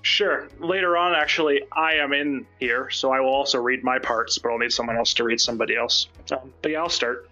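A man's voice: mid-range voice, fairly even intonation, fairly quick, hardly any pauses, pushed and bright, faint music behind. A wry, playful man chatting casually as a guest writer and reading documents aloud on dull stream audio.

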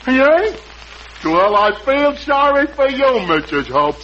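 An actor playing a dim-witted, slow-thinking tavern regular with a halting, dopey manner in 1940s radio comedy. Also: male voice, very high voice, sing-song, fairly bright tone, heavily acted. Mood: earnest. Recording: noisy muffled old radio broadcast.

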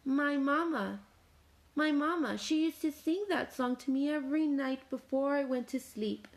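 A woman's voice: high-pitched, unhurried, pitched above the performer's own voice. A woman voicing a hungry, sly male wolf in a storybook, wheedling and mock-friendly with a sentimental streak. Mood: wistful.

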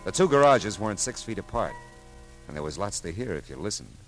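Private detective, male, radio noir: low voice, animated contour, wry hesitant asides, music underneath. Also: fairly quick, low-quality recording. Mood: brooding narration. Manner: deadpan, hesitant asides, dry wit. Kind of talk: classic radio detective drama with first-person narration.

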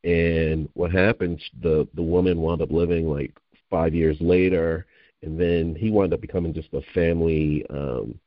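A man's voice: low-pitched, steady tone, moderate pace, no echo. A man hosting an interview, chatting in an enthusiastic, rambling way. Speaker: host. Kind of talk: casual interview talk. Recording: dull lo-fi audio.

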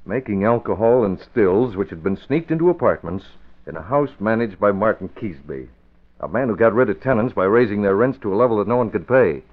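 Radio serial hero, male: low voice, measured, authoritative, deductive. Thin vintage recording. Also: animated, phone-quality sound. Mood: thoughtful. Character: serious, deductive male newspaper boss and secret crimefighter. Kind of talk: old-time radio drama acting.

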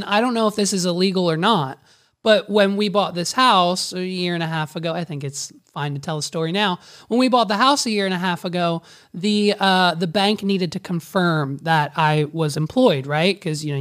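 A man with a raspy voice that people often take for a woman's, a business owner telling a story in casual conversation, jokey and confiding. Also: high voice, a little fast, fairly bright tone.

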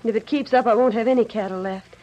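A woman's voice: a little high, fast, warm tone, muffled recording, a little hiss or hum, natural voice. An actress playing a forthright, careworn young woman who owns a ranch, with an American accent, in an old radio western. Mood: worried.

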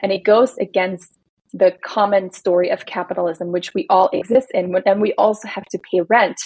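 A woman's voice: medium pitch, steady tone, moderate pace, darkish tone, husky, no room sound, rough recording. A woman from Belgium, an attorney, explaining workplace rights in an earnest, casual podcast chat. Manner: earnest, explanatory, speaks in long building lists. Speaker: employment attorney guest.

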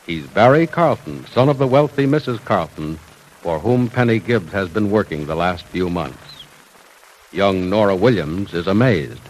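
An old-time radio announcer, a man delivering a hearty, folksy sales pitch. His voice rises and falls in big swings as he sells and introduces the show. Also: expressive, normal speed, a little mellow, a little hiss or hum, low-quality recording.

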